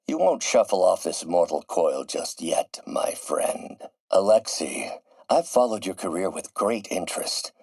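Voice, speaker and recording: Animated, proud, embittered scientist. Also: male voice, on the low side, no echo. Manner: articulate, theatrical, erudite phrasing. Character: brilliant, proud, embittered older male scientist-mentor turning villain. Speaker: video game voice actor. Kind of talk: dramatic scripted game dialogue.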